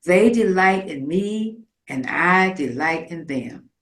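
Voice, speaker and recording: A woman's voice: on the low side, lively intonation, slow pace, a bit bright. A woman from rural Virginia reciting a scripted poetic monologue in a theatrical, lyrical manner at a community performance. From rural Virginia, United States. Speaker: community performer reading own piece. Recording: roomy, echoing live space.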